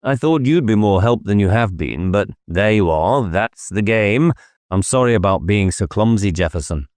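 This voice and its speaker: A despairing policeman played by a male narrator in a dramatised novel. He is sardonic and sorry for himself, with a dark, mellow tone and a very dry recording. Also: fairly low pitch, animated, moderate pace, no echo, pitched above the performer's own voice, natural voice. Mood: apologetic. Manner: sardonic, self-pitying. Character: weary, bitter policeman, despairing and self-loathing. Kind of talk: dramatised audiobook dialogue.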